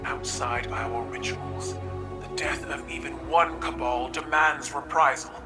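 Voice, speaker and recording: Slow, imperious alien warrior leader. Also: male voice, on the high side, fairly even intonation. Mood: solemn, vengeful. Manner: formal, imperious, measured. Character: proud, vengeful alien warrior leader demanding honor. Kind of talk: dramatic video game dialogue. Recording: dramatic music behind the voice.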